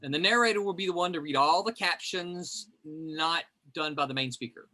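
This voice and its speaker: A man's voice: a little high, moderate pace, pushed and bright. A man hosting a group reading on a video call, explaining the rules in a chatty, rambling way. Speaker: host.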